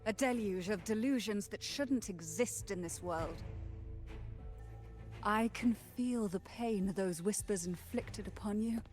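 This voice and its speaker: An actress playing an enigmatic, composed noblewoman with mystical powers in a video game, measured and formal. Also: medium pitch, a little mellow, faint music behind, close to an everyday voice. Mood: solemn. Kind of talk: dramatic video game voice acting.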